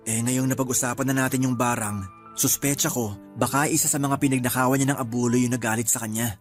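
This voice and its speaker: An actor plays a bereaved young Filipino man, lonely, earnest and plain-spoken. It is colloquial radio drama acting in a medium-pitched male voice, over faint music. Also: steady tone, quick pace, close to an everyday voice. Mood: puzzled. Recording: music underneath.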